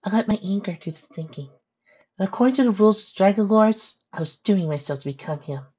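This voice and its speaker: A woman voicing a gentle, sorrowful young female pony in a storybook reading, speaking softly and earnestly. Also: mid-range voice, animated, husky, muffled recording, pitched above the performer's own voice. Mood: regretful. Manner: soft, earnest, measured.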